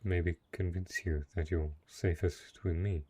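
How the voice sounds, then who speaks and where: Brooding dark knight, played by a male actor: deep, mellow, measured, lonely and possessive, for fantasy roleplay audio. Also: steady tone, breathy edge. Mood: soft, coaxing. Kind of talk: dramatic roleplay audio monologue.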